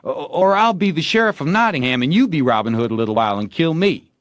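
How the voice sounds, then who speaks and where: A man voicing an excitable, bossy young boy in a storybook, talking theatrically and in folksy dialect as he plays a bold outlaw. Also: a little high, fast, no room sound, pitched above the performer's own voice. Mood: bargaining. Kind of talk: acted storybook dialogue.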